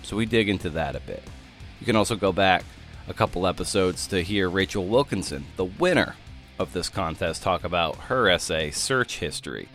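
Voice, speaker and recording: A man's voice: deep voice, a bit bright. A man working as a podcast host, moving between scripted introduction and casual interview talk.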